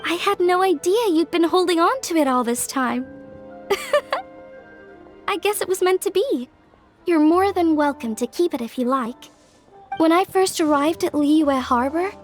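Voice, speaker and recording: Young craftswoman, high voice, breezy and candid, friendly, expressive, video game dialogue, faint music behind. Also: measured pace, long pauses. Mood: warm, reflective. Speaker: game character voice actor.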